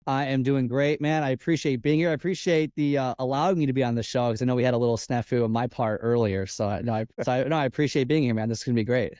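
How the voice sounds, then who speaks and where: A man with a mid-pitched, nasal voice talks loosely as a fitness coach guest, often restarting his sentences. His tone is mellow. The dry, lo-fi sound gives it a dull edge. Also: fast talker, hardly any pauses, dry recording. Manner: rambling, with frequent restarts and "like". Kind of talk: casual podcast interview. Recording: dull, lo-fi podcast audio.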